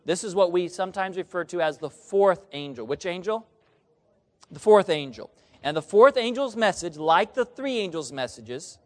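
A man preaching a sermon, reading scripture aloud and asking the congregation questions they answer back. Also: high voice. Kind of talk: sermon with call and response. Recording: long reverb of a large hall.

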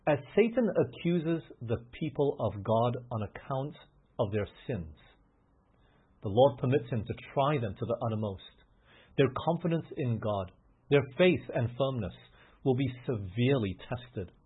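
A man's voice: medium pitch, lively intonation, unhurried, husky, narrow, tinny audio. A man preaching a sermon in an earnest way that builds to emphatic peaks, often restarting his sentences. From grew up in England, Australia and the US.

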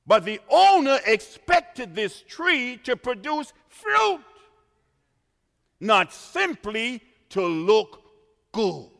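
Male preacher from Trinidad, high lively voice, dramatic shouts and hushed asides, church reverb. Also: very slow, gravelly. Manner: dramatic, swings from quiet asides to shouted emphasis. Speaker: pastor. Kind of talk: sermon.

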